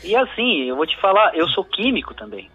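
Bright-voiced southern Brazilian man. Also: sits high, sing-song, brisk, breathy edge, dry recording, highs cut off, faint music behind. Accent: southern Brazilian Portuguese. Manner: reflective, hesitant, restarts phrases. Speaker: musician guest. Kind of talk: radio interview answers.

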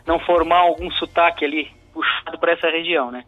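A man's voice: a little high, quick pace, no room sound, phone-quality sound. A man with a southern Brazilian accent, a musician answering radio interview questions in a reflective, hesitant way that often restarts phrases. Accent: southern Brazilian Portuguese. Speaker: musician guest.

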